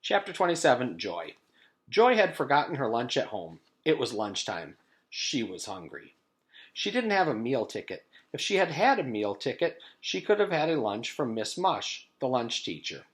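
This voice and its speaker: A man's voice: medium pitch, sing-song, normal speed, fairly bright tone. A man reading a children's book aloud in the style of a teacher's storytime, narrating expressively.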